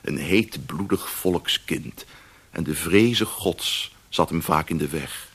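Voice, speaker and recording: An actor playing a wry storyteller, narrating a radio play expressively with savoured pauses and asides. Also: male voice, deep voice, fairly bright tone, slightly rough, no room sound, heavily acted. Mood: wry, fond. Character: wry male storyteller of old Amsterdam.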